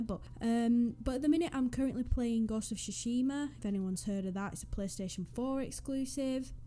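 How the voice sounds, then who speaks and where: A woman's voice: medium pitch, mellow. A young woman from northwest England with a Northwest English accent, chatting casually and rambling with self-deprecating asides over a game build. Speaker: Sims YouTuber. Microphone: USB desk microphone.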